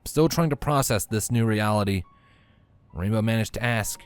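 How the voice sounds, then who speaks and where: Low-voiced man narrating a story. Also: moderate pace, smooth, soft background music.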